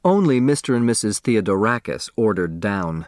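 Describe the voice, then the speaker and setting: A man reading a novel aloud as an audiobook narrator, giving expressive colour to the characters. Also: lowish voice, normal speed, dry recording, studio quality.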